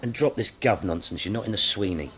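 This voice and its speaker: An actor playing a stern British senior detective in a radio drama, with a clipped, commanding delivery. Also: male voice, on the low side, expressive, brisk, fairly bright tone. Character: stern, authoritative male senior police detective briefing his team. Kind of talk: BBC radio drama acting.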